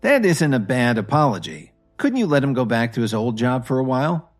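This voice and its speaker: Composed, generous male lead acted by a narrator, animated intonation, music underneath. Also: medium pitch, a little fast, lightly acted. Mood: calm. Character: wealthy, composed, generous young husband. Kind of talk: audio drama character voice.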